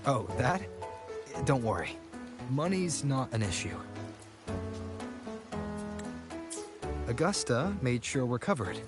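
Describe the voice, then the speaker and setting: A man playing a calm, thoughtful, quietly wary young warrior in a video game, speaking in a measured way. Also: medium pitch. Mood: reassuring.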